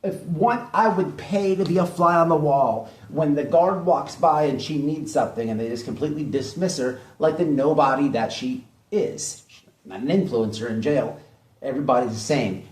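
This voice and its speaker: A man's voice: fairly high pitch, brisk, a little mellow. A man giving opinionated drama commentary in a blunt, mocking way, hammering points home with repetition. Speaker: online commentary host. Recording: echoey room, distant mic.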